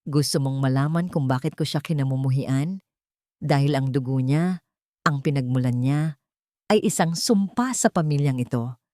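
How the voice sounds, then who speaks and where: A woman's voice: rumbling low, normal speed, dark tone, no echo, pitched below the performer's own voice. A venomous, elegant matriarch of a wealthy family, played by a woman in a radio drama. She is haughty, dismissive and full of hate. The recording is dry and close. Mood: venomous, confessing. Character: venomous elegant rich matriarch, cruel and scornful. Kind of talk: Tagalog radio drama acting.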